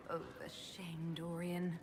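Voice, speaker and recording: A woman playing a composed, kindly mage leader in a video game, measured and gently teasing. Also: lowish voice, monotone, background noise. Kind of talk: video game character acting.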